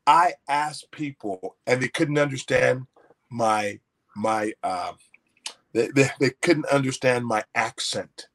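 A man's voice: mid-range voice, slow pace, a bit bright. Folksy male guest telling a story. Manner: repetitive restarts, anecdotal, folksy. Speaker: author guest. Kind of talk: storytelling in an interview.

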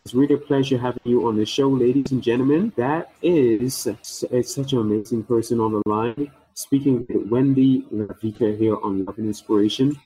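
A man hosts an interview show with a husky, slightly breathy voice. He talks in a lavish, praising way and pauses with fillers as he goes. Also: mid-range voice, fairly even intonation, poor audio. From Saint Martin. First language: English. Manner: warm, effusive, praising the guest. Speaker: show host. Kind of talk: radio-style interview talk.

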